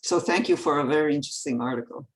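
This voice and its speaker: Halting speaker. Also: mid-range voice. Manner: halting, heavy uh fillers and repeated words. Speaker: discussant commenting on a talk. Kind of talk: academic discussion comment.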